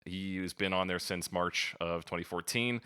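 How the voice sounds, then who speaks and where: A man's voice: low-pitched, a little slow, pushed and bright, smooth, no room sound, studio quality. A man co-hosting a podcast, chatting casually about music in a wry, joking way. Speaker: podcast co-host.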